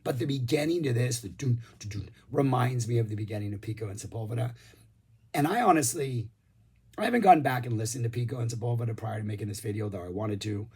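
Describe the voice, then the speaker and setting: Hoarse man rambling about music. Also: mid-range voice, quick pace, darkish tone. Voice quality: husky. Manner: rambling and enthusiastic, many ums. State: hoarse, losing his voice. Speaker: music reviewer. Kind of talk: casual music review vlog.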